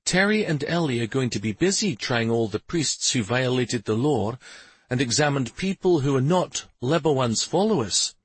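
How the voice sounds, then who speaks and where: A man voicing an angry, commanding prince bent on justice in a fantasy audiobook, speaking forcefully. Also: lively intonation, normal speed, slightly rough, no room sound, rough recording. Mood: brooding, intense. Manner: forceful, commanding, low intense speech. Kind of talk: fantasy audiobook character dialogue.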